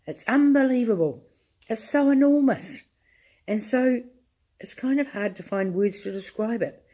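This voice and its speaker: A woman's voice: mid-range voice, moderate pace, warm tone, lightly acted. A woman voicing an eager, folksy male astronaut reporting over the radio.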